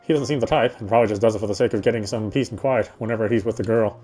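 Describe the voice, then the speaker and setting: A man streaming a game, chatting casually and reading its story aloud, often halting and correcting himself. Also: fairly low pitch, mellow. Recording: faint game music behind.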